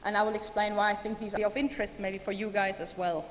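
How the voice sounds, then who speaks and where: A woman's voice: medium pitch, flat delivery, fast talker. A woman from Germany giving a chatty, enthusiastic keynote speech with many ums. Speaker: keynote speaker. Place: large conference hall. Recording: hall reverb, faint room noise.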